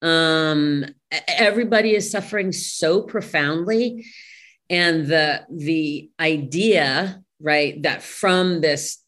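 Low-pitched woman, halting and thoughtful. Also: a bit bright. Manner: halting, searching for words. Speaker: interview guest, play translator. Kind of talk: thoughtful interview discussion.

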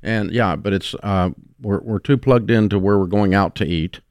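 A man's voice: lowish voice, moderate pace, a little mellow, clear, resonant tone, dry recording. A man hosting a long-running talk show, speaking bluntly and plainly in casual conversation. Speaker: radio show host.

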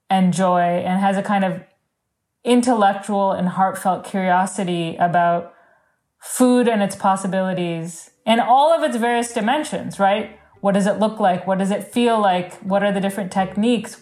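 A woman's voice: medium pitch, a little slow, a little mellow. Articulate woman listing questions. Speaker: reporter's sister. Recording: faint music behind.

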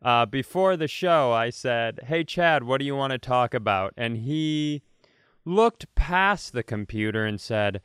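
Clear, dry-sounding American male co-host. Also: medium pitch, expressive, unhurried, fairly bright tone, no echo. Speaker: podcast co-host. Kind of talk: casual comedic chat.

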